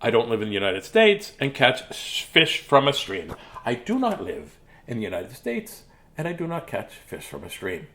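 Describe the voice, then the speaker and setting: A man voicing a peeved, furry marsupial from a storybook, indignant and emphatic, in a rough imitation Australian accent. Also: medium pitch, very animated, normal speed, few pauses, pushed and bright. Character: peeved furry male Australian marsupial protesting he's no bear.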